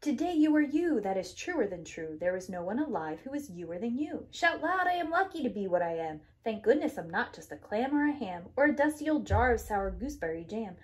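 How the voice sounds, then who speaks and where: A woman's voice: on the high side, sing-song, a little fast, few pauses. A woman preschool teacher reading a rhyming picture book aloud to young children in an emphatic, sing-song rhythm.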